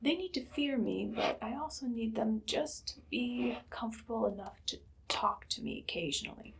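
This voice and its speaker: Woman playing a whispering evil queen, softly menacing. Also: a little high, vocal fry, slightly rough, full character voice. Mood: dryly menacing. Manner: soft, slow, commanding, mostly whispered. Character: evil, menacing queen giving orders with dry humor. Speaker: ASMR roleplay performer. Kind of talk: whispered ASMR roleplay.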